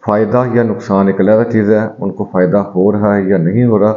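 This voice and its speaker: A man who is a homeopathic doctor, calmly explaining home eye remedies to his viewers and addressing them as friends. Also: on the low side, fairly quick. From Pakistan. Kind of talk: health advice video to viewers. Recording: dull, echoey room recording.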